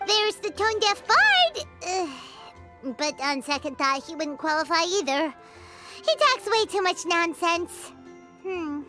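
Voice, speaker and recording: A bubbly little fairy sidekick with an extremely high, squeaky voice that swoops all over. She is childlike, opinionated and exaggerated, drawing out her exclamations in video game style, with music playing behind her. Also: big pitch swings, a little slow, sharp tone, heavily acted. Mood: skeptical, musing. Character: tiny chirpy childlike fairy girl companion, cheeky and opinionated. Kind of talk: animated video game voice acting.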